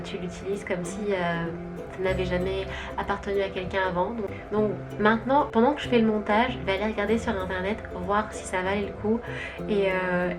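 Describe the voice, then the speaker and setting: A young woman with a Quebec French accent, chatting gently through a casual haul vlog at home, thinking aloud as she goes. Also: medium pitch. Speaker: vlogger and stay-at-home mother. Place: at home with her baby asleep nearby.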